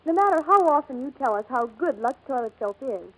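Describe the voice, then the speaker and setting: An actress playing a chatty, enthusiastic endorser in a scripted 1940s radio commercial. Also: female voice, high voice, expressive, brisk, poor audio. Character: chatty, enthusiastic young woman product endorser. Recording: vintage 1940s radio recording.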